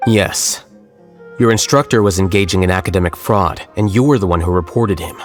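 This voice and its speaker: An actor playing a calm, composed male scholar speaking in a measured way. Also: low voice, faint music behind, close to an everyday voice. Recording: game soundtrack behind.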